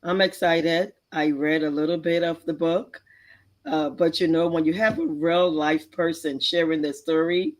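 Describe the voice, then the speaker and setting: A man's voice: a little high, fairly even intonation, a little slow. A man speaking conversationally as the co-host of a talk show. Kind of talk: conversational discussion.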